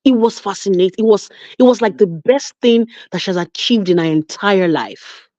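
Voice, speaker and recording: A woman's voice: mid-range voice, moderate pace, no echo. A woman from Nigeria with a Nigerian accent, telling stories emphatically in a casual online chat. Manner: animated, emphatic storytelling with frequent restarts. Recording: dull lo-fi audio.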